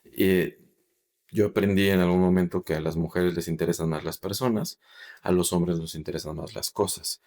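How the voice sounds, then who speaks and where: Deep-voiced male podcast host. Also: fairly even intonation, moderate pace, darkish tone. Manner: thoughtful, long rambling questions. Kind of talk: interviewing a guest.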